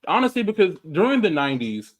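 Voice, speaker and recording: A man around forty, co-hosting a sports podcast in an easygoing way, quick to agree and laugh along. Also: high-pitched, big pitch swings. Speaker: podcast co-host. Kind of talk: casual sports chat.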